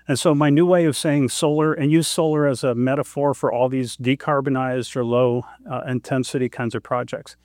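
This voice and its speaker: A man at mid pitch presents economics to farmers in an easy, talky way. He tosses questions to the audience and pokes fun at himself. His voice is close and dry, with no room ring. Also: steady tone, moderate pace, hardly any pauses, darkish tone, no echo. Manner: conversational, quizzes the audience, self-deprecating jokes. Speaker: economist giving a talk. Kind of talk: conference presentation to a farm audience.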